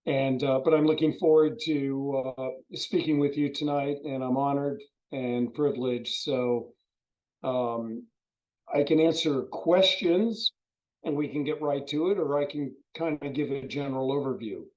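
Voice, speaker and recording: A man in his seventies, hoarse from a cold, giving a folksy, expansive presentation over a video call. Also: medium pitch, measured pace, darkish tone, poor audio. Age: 70s. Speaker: guest speaker.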